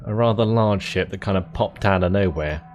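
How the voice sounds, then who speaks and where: A man's voice: sits low, brisk, a little mellow, muffled recording, slight background noise. A man giving casual gameplay commentary as a video host, understated and thinking aloud.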